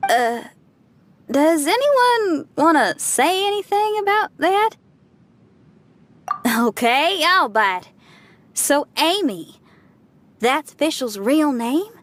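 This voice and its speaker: Easygoing, high-voiced young adventurer. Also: female voice, very animated, drawn-out words, long pauses, fairly bright tone, dry recording, exaggerated voice. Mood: curious. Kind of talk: video game character acting.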